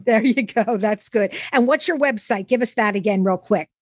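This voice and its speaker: A woman's voice: fairly high pitch, normal speed, husky, no room sound, studio quality. A middle-aged or older woman hosting a conversational interview in an encouraging, affirming way. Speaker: podcast host.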